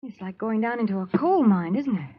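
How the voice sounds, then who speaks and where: A woman's voice: fairly high pitch, lively intonation, brisk, background noise, close to an everyday voice. An actress playing a plucky but jittery young female companion in a 1930s radio drama, chatty, wry and apprehensive, on a muffled old recording. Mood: uneasy, wry.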